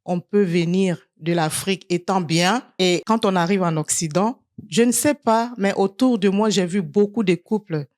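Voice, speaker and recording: A woman's voice: mid-range voice, normal speed, darkish tone, no echo. A woman from Côte d'Ivoire, a guest on a studio panel, speaking earnestly and repeating her points for emphasis. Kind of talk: panel discussion. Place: studio set.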